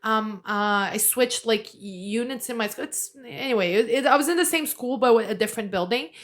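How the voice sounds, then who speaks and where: A woman's voice: on the high side, moderate pace. Woman, light Brazilian accent, Portuguese native, self-deprecating, laughing, casual interview guest. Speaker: guest journalist.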